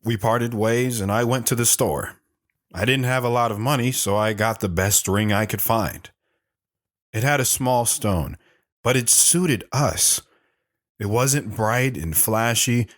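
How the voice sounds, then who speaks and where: A man's voice: lowish voice, a little slow, a bit bright, no echo, clean studio sound. An actor playing an older, reflective, world-weary man telling his life story in an audio drama.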